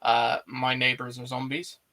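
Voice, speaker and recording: A man's voice: medium pitch, monotone, unhurried, crowd noise. A man hosting a podcast in a laid-back, blokey, casual way. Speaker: podcast host. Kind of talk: casual chat.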